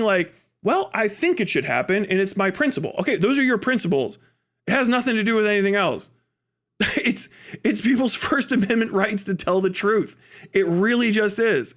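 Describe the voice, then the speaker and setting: A man's voice: sits high, fairly bright tone. A man giving casual, ranting political commentary as a livestream host, sweary and emphatic, often asking questions and answering them himself.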